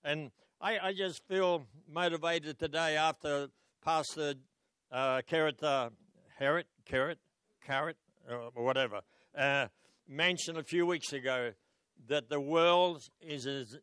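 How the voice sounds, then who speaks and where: A man's voice: a little high, steady tone, measured pace, smooth, no echo. An older man with an Australian accent, preaching a sermon on a church stage into a handheld mic, telling stories and calling out to the congregation. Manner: storytelling preacher, rising to loud exclamations and calling out to the congregation. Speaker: pastor.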